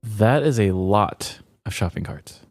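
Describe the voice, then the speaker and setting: A man talks casually as co-host of a comedy podcast. His voice is low, with highly animated intonation, and the sound is close and free of room echo. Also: a little slow, faint music behind.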